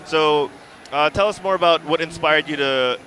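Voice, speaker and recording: A man's voice: a little high, moderate pace, pushed and bright, low-quality recording. A man hosting a convention interview, upbeat and affirming, asking leading questions. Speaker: host interviewing game developers.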